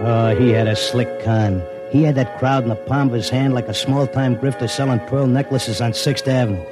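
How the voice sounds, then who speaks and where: A man's voice: mid-range voice, steady tone, normal speed, loud music behind the voice, close to an everyday voice. An actor in a classic radio drama playing a cynical, slangy racetrack wise guy with a blunt, wry manner. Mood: wry, narrating. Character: streetwise, cynical racetrack wise guy devoted to his wife.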